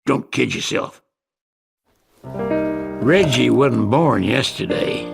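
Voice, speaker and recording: An actor playing a gruff, plain-spoken old family friend with a gravelly voice, in a game cutscene. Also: male voice, medium pitch, lively intonation, very slow, exaggerated voice. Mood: grim, wry. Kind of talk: video game cutscene acting. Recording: loud music under the voice.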